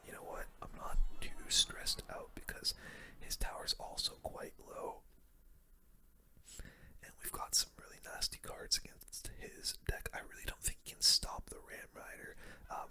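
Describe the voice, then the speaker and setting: An ASMR gaming creator giving casual play-by-play commentary in a soft, breathy, unvoiced whisper, close to the microphone, with gamer slang.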